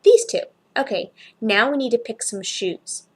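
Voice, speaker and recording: A woman voicing a kind, helpful female shop assistant in a story, talking in a gentle, coaxing, reassuring way. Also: fairly high pitch, expressive, a little slow, breathy edge. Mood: encouraging.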